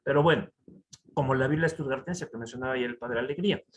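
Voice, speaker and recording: A man with a Mexican accent giving a conference lecture over slides, animated and full of rhetorical asides to the audience. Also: mid-range voice, quick pace. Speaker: conference speaker. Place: conference hall.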